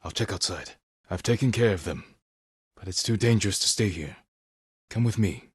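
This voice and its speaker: An actor playing a stoic, honorable samurai warrior in a video game, speaking in a measured, formal and grave way. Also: male voice, low-pitched, expressive, husky, dry recording, clean studio sound, heavily acted. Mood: calm, solemn. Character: stoic, honorable samurai warrior, adult man, solemn and protective. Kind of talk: dramatic video game acting.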